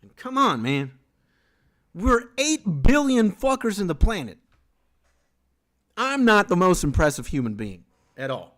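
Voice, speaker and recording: A man's voice: high-pitched, unhurried, long pauses. A man from Venezuela, a native Spanish speaker, chatting casually on a live stream about music and reading comments from chat aloud. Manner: lively, rambling, reads chat aloud.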